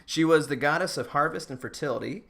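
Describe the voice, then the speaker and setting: A man's voice: fairly high pitch, quick pace, creaky, fairly bright tone. Casual, joking young-sounding podcast guy. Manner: joking, informal, giggly. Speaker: podcast co-host presenting a rundown. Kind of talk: casual comedic presentation with banter.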